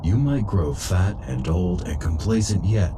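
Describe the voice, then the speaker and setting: A man voicing a calm, terse cyborg warrior in a video game, deep-voiced, measured and deadpan. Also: background noise. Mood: reassuring.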